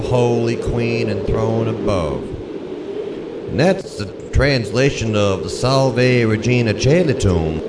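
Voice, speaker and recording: A man with a deep, low voice speaks at an unhurried, slow pace, chatting in an easygoing, folksy way as he hosts a church music show. A loud rumble of vehicle noise runs behind him the whole time. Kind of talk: casual spoken rundown of liturgical music.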